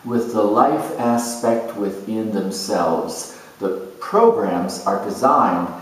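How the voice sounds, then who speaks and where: A man performing a first-person character monologue in a deliberate, measured, theatrical way with emphatic phrasing. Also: on the low side. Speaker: astrologer dramatizing zodiac character types. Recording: echoey room, distant microphone.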